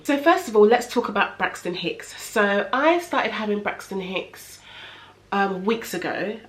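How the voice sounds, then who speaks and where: A woman's voice: mid-range voice, fairly bright tone. A young woman, blocked up with a cold, chatting through a casual home vlog update. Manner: chatty, rambling, many fillers. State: congested with a head cold. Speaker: vlogger. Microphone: camera in front of her.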